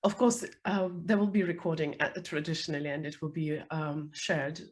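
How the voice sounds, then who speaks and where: A woman with a hoarse, worn voice from being sick, hosting a live online interview over a video call, chatty with many fillers. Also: low-pitched, moderate pace. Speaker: host. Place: home, calling in.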